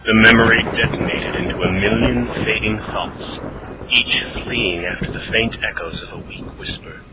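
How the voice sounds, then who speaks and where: A man's voice: fairly low pitch, normal speed, heavy background noise. A man giving dramatic scripted narration for a fantasy radio play. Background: loud music.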